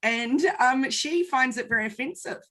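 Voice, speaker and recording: A woman in her forties, a guest in a conversation, sharing personal anecdotes. Her delivery is lively and expressive, and she tells her stories with animation. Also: sits high, normal speed.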